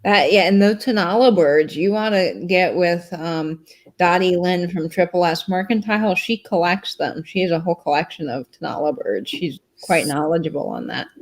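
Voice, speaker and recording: A woman's voice: on the low side, moderate pace. A woman co-hosting a casual livestream chat and reading out viewer comments in an easygoing, enthusiastic way.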